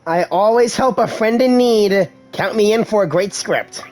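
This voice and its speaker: A woman's voice: mid-range voice, a little slow, a little mellow, soft background music. A woman doing casual, chatty video game playthrough commentary, reacting aloud as she plays. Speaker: gamer playing and commenting.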